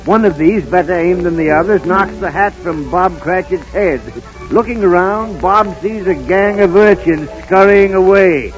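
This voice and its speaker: A man's voice: sits high, unhurried. A man acting as a dramatic, earnest storyteller, narrating in 1930s radio style. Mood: bright, vivid. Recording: muffled 1930s radio recording with music.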